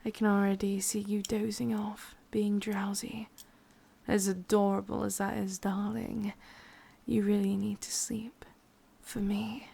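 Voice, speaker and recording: A woman acts out a sadistic, doting witch in an ASMR roleplay, with an English accent. The voice is mostly a breathy whisper, creaking at the edges and unhurried. She coaxes and commands softly, intimate and possessive. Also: medium pitch, level tone, full character voice. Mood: coaxing, softly commanding.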